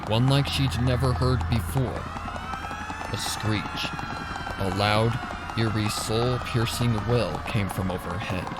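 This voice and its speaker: A man's voice: on the low side, very noisy. A man narrating a story in a dramatic, suspenseful, theatrical scripted style. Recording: loud ambient sound effects over the voice.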